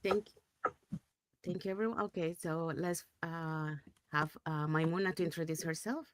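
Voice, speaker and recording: Low-voiced woman hosting a live online talk. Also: normal speed, no echo. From Panama. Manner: friendly, relaxed, with frequent fillers. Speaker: host.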